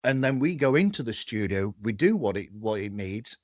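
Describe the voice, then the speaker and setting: A man's voice: lowish voice, lively intonation, normal speed, darkish tone, dry recording, studio quality. A man hosting a casual podcast conversation. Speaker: podcast host.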